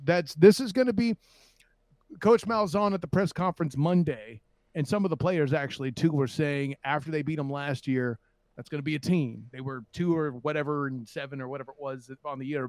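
A man's voice: fairly high pitch, a little mellow, no echo. A man giving casual, opinionated sports commentary as a panelist, wordy, wry and emphatic. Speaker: sports show panelist and reporter.

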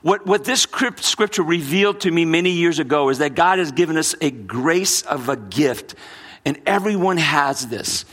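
A man's voice: a little high, lively intonation, normal speed, fairly bright tone. A middle-aged man pastor with a mixed New Orleans and Brooklyn accent, preaching earnestly with frequent repeats and restarts. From United States. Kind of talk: sermon.

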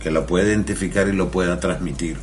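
Deep-voiced Venezuelan man explaining. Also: steady tone, fairly quick, a little hiss or hum. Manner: earnest, explanatory, with restarts. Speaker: guest on mental health. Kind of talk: radio interview.

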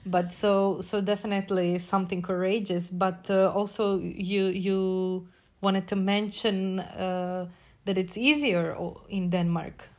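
A woman from Croatia hosting a podcast, friendly and quick to laugh as she interviews her guest. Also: medium pitch, little pitch movement. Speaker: podcast host.

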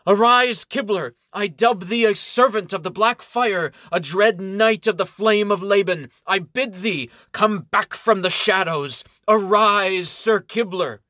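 A man's voice: a little high, very animated, fairly quick, fairly bright tone, dry recording, studio quality, voice pushed up. A man voicing an angry young female elf who snaps threats and gives orders. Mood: chanting. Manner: snappish, threatening.

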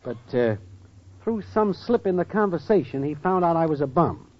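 Actor as a cocky, animated schemer boyfriend. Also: male voice, on the high side, normal speed, lightly acted. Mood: breezy, ingratiating. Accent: American. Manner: slangy, wheedling, cocky. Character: scheming, broke, fast-talking male sitcom boyfriend. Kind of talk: 1940s-50s radio comedy acting. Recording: dull, noisy old radio recording.

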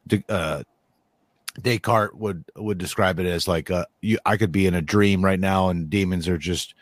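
Man, lowish pitch, casual and rambling, dry recording. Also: normal speed, no echo. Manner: rambling, restarts often, says "like" a lot. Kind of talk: casual conversation.

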